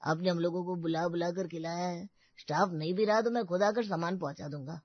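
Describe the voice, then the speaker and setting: A man's voice: high voice, steady tone, fairly quick, no room sound, dull audio. A man playing a friendly, deferential neighbourhood grocer in a family story. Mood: obliging. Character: male neighbourhood grocer, obliging and respectful.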